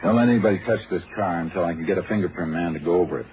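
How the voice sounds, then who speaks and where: Man acting a deep-voiced, businesslike Texas Ranger. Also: racing, lightly acted. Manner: terse, matter-of-fact. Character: calm, businesslike male Texas Ranger investigator. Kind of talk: 1950s radio drama acting. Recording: muffled, noisy old radio recording.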